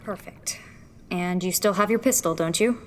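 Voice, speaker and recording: A woman's voice: lowish voice, normal speed, a little hiss or hum, close to an everyday voice. A woman playing a determined young rebel engineer in a sci-fi audio drama, earnest and taking charge as she explains the plan. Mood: focused. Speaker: rebel leader. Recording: loud music over the voice.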